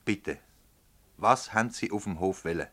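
Actor as stern, bright-voiced Swiss German prosecutor. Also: male voice, lowish voice, normal speed, natural voice. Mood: measured, insistent. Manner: formal, commanding, probing. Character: stern, methodical male public prosecutor questioning villagers. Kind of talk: radio drama acting in dialect.

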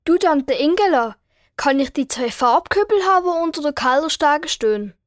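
A woman's voice: sits high, lively intonation, normal speed, no echo, clean studio sound. A woman voicing a reserved, curt but polite young boy in Swiss German for a children's audio story.

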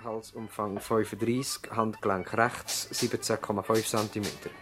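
Actor as matter-of-fact Swiss German country doctor. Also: male voice, on the low side, level tone, moderate pace, over music, natural voice. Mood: businesslike, dictating. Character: earnest male doctor standing in at a village practice. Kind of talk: radio play acting, dialogue and dictation. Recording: 1970s radio drama recording.

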